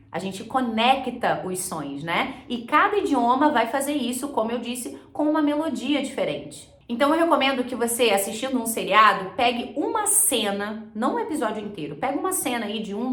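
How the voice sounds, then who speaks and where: A woman's voice: a little high, a bit bright, roomy sound. A Brazilian woman giving a conversational, explanatory language lesson, mixing English terms into her speech as she instructs. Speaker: English teacher and video host.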